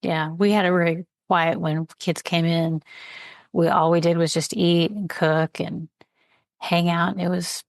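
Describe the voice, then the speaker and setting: A woman hosting a writers' podcast in casual chat, friendly and quick to laugh. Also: fairly low pitch, flat delivery, no echo, clean studio sound. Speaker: podcast host.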